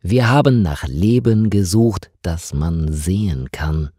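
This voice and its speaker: A man voicing a calm, eerie woman colonist on Mars in an audiobook, explaining things in a measured, solemn way. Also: deep voice, slightly rough, no echo, clean studio sound, full character voice. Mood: calm, grave.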